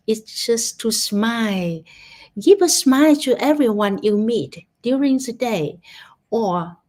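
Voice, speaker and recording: A woman from Vietnam with a Vietnamese accent, guiding a gratitude meditation online in gentle, halting English with many restarts. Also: fairly high pitch. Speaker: meditation guide. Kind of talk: guided gratitude meditation talk. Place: online session.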